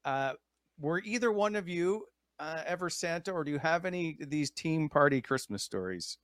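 A man's voice: on the high side, lively intonation, moderate pace, a bit bright, no echo, studio quality. A man hosting a sports podcast in a casual, conversational style. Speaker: podcast host.

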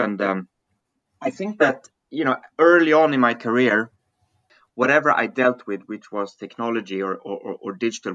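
Bright-voiced Swiss man, bright dry sound. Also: mid-range voice, dry recording, muffled recording. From Switzerland. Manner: thoughtful, repeats words while searching for phrasing. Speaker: podcast guest, digital business executive. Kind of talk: conversational interview answers.

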